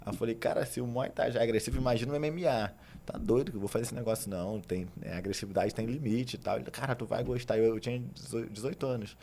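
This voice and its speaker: A man's voice: mid-range voice, quick pace, mellow, slight background noise. A man in his thirties with a Carioca Brazilian accent, telling stories as a podcast guest in an easygoing way, laughing often and tagging on "né?". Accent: Carioca Brazilian Portuguese. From Rio de Janeiro, Brazil. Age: 30s. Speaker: guest, jiu-jitsu instructor. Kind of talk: casual storytelling chat.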